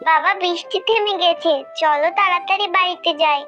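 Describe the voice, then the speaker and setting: A woman's voice: very high voice, brisk, highs cut off, soft background music. A woman voicing an earnest young village girl, a devoted and pleading daughter in a cartoon. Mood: urging. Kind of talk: Bengali cartoon voice acting.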